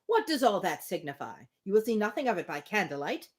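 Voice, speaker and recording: Lady reading an easygoing husband from an old novel, waves off every worry, brisk and reassuring. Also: medium pitch, very animated, natural voice. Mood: breezy. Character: breezy, dismissive husband from a period novel.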